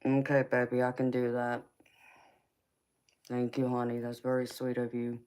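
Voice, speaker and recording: A woman in her forties with a light Southern twang chats with her livestream viewers. Her voice sits remarkably low for a woman. She talks in a relaxed, folksy way and calls everyone baby and honey. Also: normal speed, clean tone. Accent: Southern American. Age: 40s. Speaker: tarot reader answering live chat. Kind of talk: casual livestream chat.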